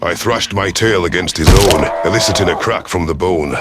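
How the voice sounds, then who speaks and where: Deep-voiced male sci-fi narrator. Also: normal speed, loud music behind the voice. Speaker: audiobook narrator. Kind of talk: first-person dramatic sci-fi story narration.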